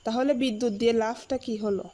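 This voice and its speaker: A woman playing a stern but caring middle-aged village mother in a cartoon drama, brisk and guarded as she gives instructions, with music behind her. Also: fairly high pitch, fairly even intonation, warm tone, natural voice. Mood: matter-of-fact.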